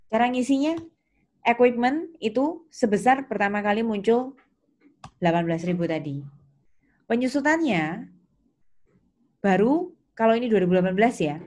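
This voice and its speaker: A woman's voice: medium pitch, darkish tone. Indonesian woman lecturer explaining step by step. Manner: didactic, asks questions and answers them herself, checks in with "ya"; mixes English technical terms into her speech. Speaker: accounting lecturer.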